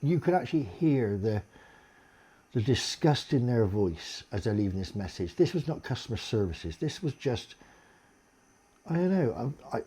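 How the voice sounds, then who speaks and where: A deep-voiced man, in a blunt, sweary, aggrieved monologue complaining to camera. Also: very animated, moderate pace, warm tone, husky.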